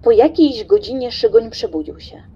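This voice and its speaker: A woman's voice: fairly high pitch, animated, normal speed, warm tone, breathy edge, muffled recording. A woman narrating a radio play from a script, as a storyteller.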